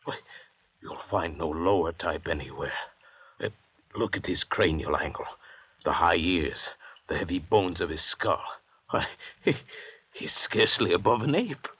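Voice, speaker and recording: Casting: pompous educated convict doctor, deep and deliberate delivery, old radio serial style, dull hazy recording. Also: male voice, expressive, a bit bright, husky, full character voice. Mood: scornful, grim. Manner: measured, lecturing, pompous. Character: educated, bitter, arrogant male doctor, escaped convict on a raft. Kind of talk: 1940s-50s radio drama acting.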